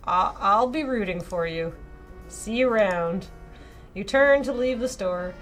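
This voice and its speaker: A woman's voice: medium pitch, unhurried, fairly bright tone, soft background music. A woman streamer playfully reading a game's dialogue aloud and chatting casually. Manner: playful, giggly.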